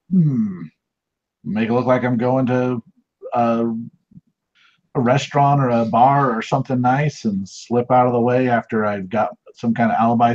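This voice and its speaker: A man's voice: mid-range voice, a little mellow, muffled recording. A man playing in an online tabletop game, hesitant and thinking aloud as he plans his move. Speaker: player. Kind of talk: casual tabletop game play.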